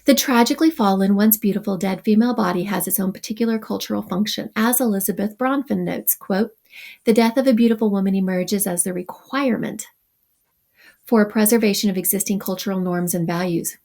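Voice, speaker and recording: A woman teacher reads a text aloud to her students in an average-pitched voice. Her voice has a gravelly fry. She keeps stopping to explain terms and add wry comments. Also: fairly quick, darkish tone. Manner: reads passages then adds wry asides.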